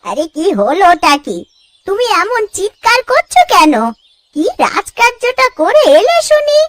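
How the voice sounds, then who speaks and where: Shrill, very high-voiced village wife. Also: female voice, sing-song, a little slow, fairly bright tone, full character voice. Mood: scolding, alarmed. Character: anxious scolding village housewife, timid and cautious. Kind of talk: cartoon dialogue acting. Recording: faint music behind.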